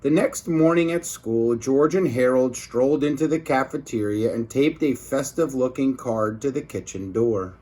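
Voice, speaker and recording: A man's voice: medium pitch, normal speed, hardly any pauses, warm tone. A man reading a children's book aloud as a teacher, expressively emphasizing words for young listeners.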